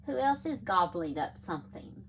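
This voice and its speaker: A woman reading picture books aloud to young children in an animated, engaging way, often addressing her listeners directly. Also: mid-range voice, moderate pace, a little hiss or hum, poor audio.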